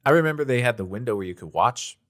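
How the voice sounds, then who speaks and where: A man's voice: mid-range voice, lively intonation, fast, a bit bright. A young American man chatting informally as a podcast host, telling anecdotes in slangy, everyday speech. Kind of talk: casual chat.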